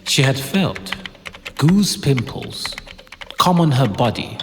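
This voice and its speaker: A man's voice: medium pitch, animated, takes it slowly, big-room sound, soft background music. A man reading scripted story narration for an audio drama.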